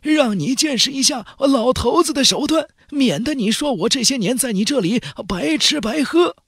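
A man voicing a boastful, crotchety old Taoist cultivator who teases and brags in an unhurried, raspy way. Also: very high voice, expressive, a bit bright, dry recording, studio quality, voice pushed up, exaggerated voice. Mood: boastful, teasing. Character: cocky old male Taoist cultivator master, teasing and boastful.

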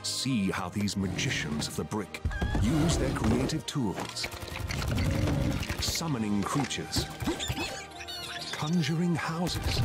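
A grand male storyteller for a video game intro. He takes his time and swings widely in pitch, inviting and theatrical, with loud music behind him. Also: exaggerated voice. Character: grand male narrator inviting players to create. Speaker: narrator. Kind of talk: grand scripted trailer narration.

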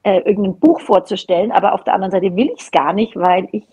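Female, middle-aged, husky medium-pitched voice, informal podcast guest chat. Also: fast, mellow, dull audio. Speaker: podcast guest, hobby knitting creator. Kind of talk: casual chat. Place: small home room at a table, indoors.

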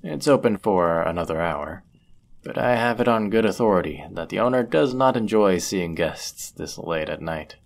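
A man acting a deep-voiced, courteous medieval innkeeper. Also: lively intonation, gravelly. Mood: courteous, warm. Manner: gentle, polite, measured, formal wording. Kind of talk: intimate roleplay audio acting, formal old-fashioned speech.

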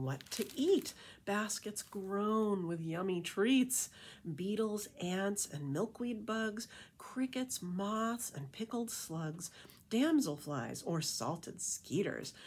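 A middle-aged woman reads a children's picture book aloud as a librarian, with rhythmic, animated storytelling and casual asides. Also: mid-range voice, slow pace, husky. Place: indoor room with painted wall.

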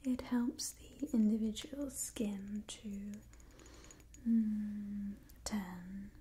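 A young woman playing a refined, doting vampire clan leader in a whispered ASMR roleplay, speaking in a genteel, gently imperious manner. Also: medium pitch, steady tone, drawn-out words, slight background noise. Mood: instructive, soothing. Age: young adult. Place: small indoor room with wooden backdrop.

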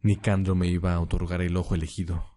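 A man's voice: low voice, flat delivery, fast talker, mellow, close to an everyday voice. A man playing a curt, defiant young vigilante in an amateur roleplay audio drama. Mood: bitter, frustrated. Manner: curt, grudging.

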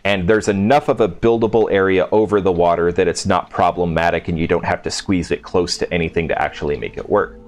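A man's voice: deep voice, fairly even intonation, fairly quick, hardly any pauses. A man hosting a casual, unscripted video game walkthrough, thinking aloud in an informal way as he shows things. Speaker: video game settlement-build host. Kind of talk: casual gameplay walkthrough commentary.

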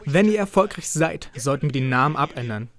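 A man's voice: a little high, expressive, brisk, a bit bright. A man delivering a voice-over translation of a political speech as an interpreter. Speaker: interpreter voicing a parliament speech in German translation.

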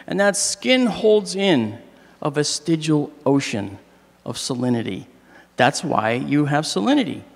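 A middle-aged man with a slightly hoarse, fairly high voice lectures on stage, joking with the crowd. His pitch swings widely as he talks into a handheld mic. The sound carries a long hall reverb. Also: measured pace. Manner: animated, joking with the audience. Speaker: astronomy lecturer. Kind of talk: public lecture with jokes.

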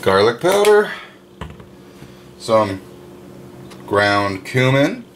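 Laid-back guy talking slow over his crockpot in the kitchen, lots of ums, a bit of faint noise behind him. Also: mid-range voice. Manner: slow, relaxed, chatty with frequent fillers. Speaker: home cooking vlogger. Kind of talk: casual cooking narration. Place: home kitchen with open windows.

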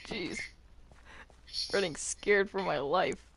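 A woman in improvised in-game roleplay chat, excitable and stammering, often repeating her phrases. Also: fairly low pitch, slow pace, creaky, noisy background. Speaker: Minecraft roleplay player.

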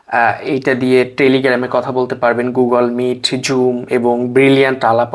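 Chatty tech host pitching an app. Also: male voice. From Bangladesh. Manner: chatty, drops in English words and filler "ah"s. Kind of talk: casual product demo and sales pitch.

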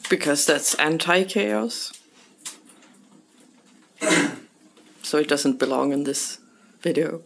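A woman's voice: low voice, slow, creaky, pauses often, slight background noise. A woman running the camera for a home cooking vlog, making dry, joking asides. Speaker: camera operator and co-host. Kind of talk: casual banter from behind the camera. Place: small home kitchen, indoors.

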